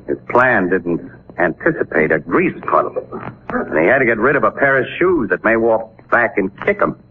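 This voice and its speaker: An actor playing a sharp, persistent newspaper photographer sleuth in a 1940s radio drama, talking in a casual, clipped, probing way. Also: male voice, fairly low pitch, sing-song, normal speed. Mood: casual, determined. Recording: muffled, noisy 1940s radio recording.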